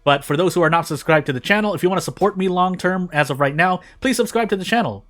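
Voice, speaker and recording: A man's voice: high voice, fast, fairly bright tone, faint music behind. A man hosting a gaming channel, giving casual, rambling gameplay commentary with self-deprecating asides.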